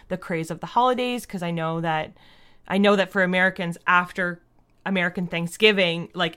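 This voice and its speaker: A woman's voice: on the low side, fairly bright tone. A woman talking casually as an interview guest, explaining things reflectively and often dropping in "like" as she goes. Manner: reflective, explanatory, frequent "like" as a verbal habit. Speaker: interview guest, audio drama writer.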